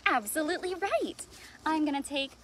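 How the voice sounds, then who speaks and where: High, animated woman hosting children's storytime. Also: normal speed. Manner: animated, exaggerated sing-song for children. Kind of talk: read-aloud and chat addressed to young children. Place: outdoors in a leafy backyard. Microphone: device mic at arm's length. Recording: outdoor background noise.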